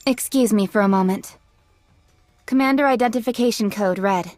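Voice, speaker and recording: Actress as young android squad leader lady in a game, formal n clipped, mid pitch, dry sound. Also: moderate pace, a little mellow, no echo, soft background music, close to an everyday voice. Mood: firm. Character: businesslike young female android squad leader, dutiful and curt. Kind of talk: English video game voice acting.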